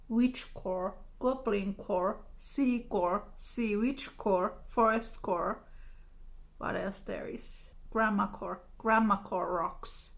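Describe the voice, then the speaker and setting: A woman's voice: medium pitch, gravelly. A woman with a Finnish accent, a native Finnish speaker, chatting casually and unhurriedly to viewers in English as a vlogger. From Finland. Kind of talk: casual chat to camera, answering tag questions.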